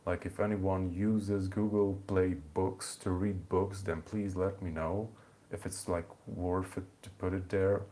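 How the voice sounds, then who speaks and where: A man's voice: low-pitched, little pitch movement, measured pace, dark tone. A young man from Hungary with a Hungarian accent, hosting a rambling, casual live-stream chat from home, full of fillers and restarts. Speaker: channel host. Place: home in the evening.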